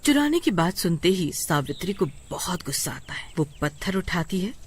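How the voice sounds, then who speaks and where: A woman's voice: fairly low pitch, very animated, moderate pace, husky. A woman reading scripted narration for a cartoon story.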